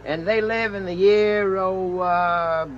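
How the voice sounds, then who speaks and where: An actor in a 1950s radio drama playing a glib schemer who poses as a film producer to trap a couple. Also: male voice, sits high, fairly even intonation, slow pace. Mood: coaxing. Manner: glib, wheedling patter that turns to barked orders. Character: smooth-talking scheming male time searcher posing as film producer. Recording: dull old radio transcription with faint hiss.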